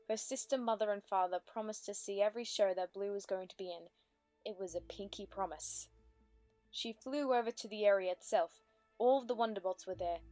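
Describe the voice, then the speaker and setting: A woman's voice: medium pitch, a little mellow, dry recording. A woman reading scripted story narration as the narrator of a dramatic reading. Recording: music under the voice.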